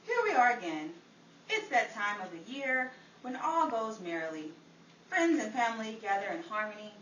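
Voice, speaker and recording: A woman's voice: mid-range voice, very animated, moderate pace, fairly bright tone. A woman playing a grand announcer, narrating a scripted show in old-time radio style. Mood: grand, cheery. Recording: roomy, distant, noisy amateur recording.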